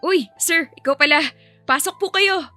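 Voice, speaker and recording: Woman as a perky, high-pitched young nanny. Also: a little slow, sharp tone, no room sound, soft background music, exaggerated voice. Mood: perky, affectionate. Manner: chirpy, teasing and doting. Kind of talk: dramatised romance storytelling.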